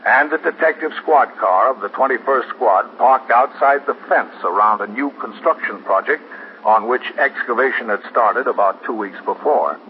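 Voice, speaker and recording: Police captain , male actor, businesslike narrator of a 1950s radio crime drama. Clipped delivery, mid-pitched, dulled vintage sound. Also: close to an everyday voice. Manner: clipped, matter-of-fact. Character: calm, businesslike New York police captain. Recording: muffled, noisy 1950s radio recording.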